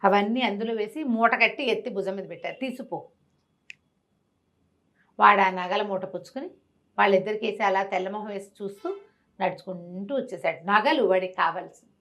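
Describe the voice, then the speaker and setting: A woman storyteller telling a devotional tale in an animated way, acting out the characters' voices as she goes. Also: mid-range voice, brisk.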